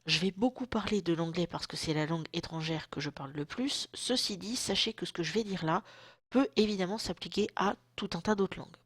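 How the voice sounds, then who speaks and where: A woman's voice: lowish voice, slightly rough, no echo, studio quality. A woman in her thirties talking casually to camera at home, chatty and self-mocking, with a throat still sore from a cold. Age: 30s. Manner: chatty, self-mocking, loose slangy talk. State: lingering sore throat, occasional coughs. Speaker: vlogger. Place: at home, indoors.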